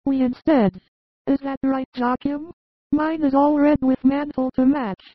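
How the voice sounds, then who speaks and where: A woman playing a high-voiced young boy. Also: flat delivery, measured pace, no echo, dull audio. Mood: haughty, boastful. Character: young boys, polite or haughty, in a Bible story. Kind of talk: dramatized religious audiobook.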